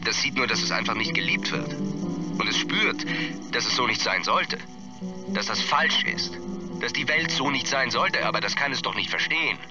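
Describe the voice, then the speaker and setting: Actor as wistful film director being interviewed, radio play. Medium male pitch, lively contour, earnest, loud music underneath. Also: close to an everyday voice, poor audio. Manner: earnest, halting, repetitive phrasing. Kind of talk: acted interview in a radio drama.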